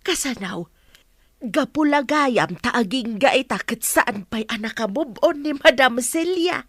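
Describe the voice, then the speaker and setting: A woman's voice: high voice, animated, slow, a bit bright, no echo, clean studio sound, exaggerated voice. An actress playing a gossipy younger household woman sharing secrets in an Ilocano audio drama. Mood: gossiping.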